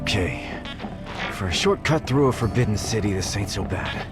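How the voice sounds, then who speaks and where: Loud music backs a low-pitched game sidekick, voiced by a man, a mutant scavenger. He is uneasy and wisecracking, grumbling in loose everyday speech. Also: lively intonation, moderate pace, natural voice. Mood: wry. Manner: colloquial, questioning, grumbling. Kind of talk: video game character acting.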